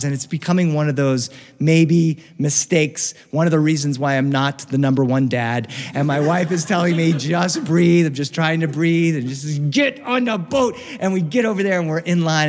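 A middle-aged man with an American accent tells a personal story to a live recording. His voice sits a little high, and he is expressive, leaning on dramatic pauses. A long reverb trails behind his words.

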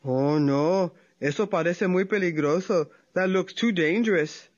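A hungry, hot-tempered male coyote, played by a woman storyteller, grumbles his threats in a gruff voice. He is easily fooled and quick to anger, a storybook beast. The sound is dull and lo-fi. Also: on the low side, a little mellow. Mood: wary. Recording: dull lo-fi recording.

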